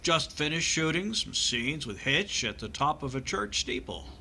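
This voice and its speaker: An actor playing a folksy, good-natured film star in 1950s radio comedy style, with a hesitant, drawn-out way of speaking. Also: male voice, on the high side, lively intonation, pushed and bright, a little hiss or hum, heavily acted. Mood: affable, hesitant. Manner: hesitant, drawn-out, stammering delivery. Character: folksy, halting, good-natured movie star, slow drawling man.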